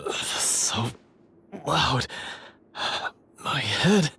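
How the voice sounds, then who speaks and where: An actor playing a groggy man with an aching head in a video game, groaning and halting. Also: mid-range voice, lively intonation, background noise. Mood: groggy, pained. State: groggy, in pain. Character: groggy, suffering man pleading for quiet.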